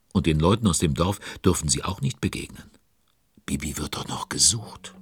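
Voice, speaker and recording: An actor playing the playful narrator of a children's audio drama, expressive and conspiratorial, relishing the suspense. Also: male voice, rumbling low, breathy edge, full character voice.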